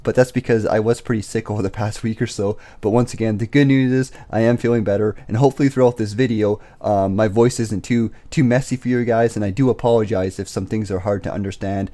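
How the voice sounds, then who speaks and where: A young man talks quickly through a casual vlog update, his voice raspy from a recent illness. The tone sits low and dark, with lots of fillers between his points. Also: fairly even intonation. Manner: quick, filler-heavy talk. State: recovering from illness, slight cough. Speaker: investing YouTuber. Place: bedroom, indoors. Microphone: desk microphone on boom arm.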